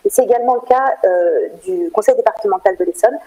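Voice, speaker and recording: A woman answering radio interview questions in an explanatory way, often pausing as she searches for words. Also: medium pitch, warm tone, rough recording. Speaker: theatre programme manager, interview guest.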